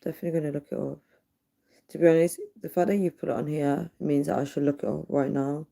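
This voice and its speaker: A tired woman, her voice nearly gone, speaking softly and earnestly as she chats with viewers on a live stream from her home at night. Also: low-pitched, gravelly. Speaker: livestreamer. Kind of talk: casual live chat reading viewer comments. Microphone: built-in device mic, no dedicated microphone.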